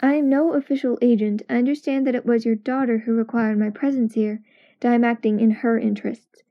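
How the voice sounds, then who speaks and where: A woman's voice: a little high, fairly even intonation, a little fast, lightly acted. A woman playing a confident, precise, analytical detective in an amateur audio drama, explaining things in an assured way. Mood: measured, businesslike. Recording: dull lo-fi audio with music.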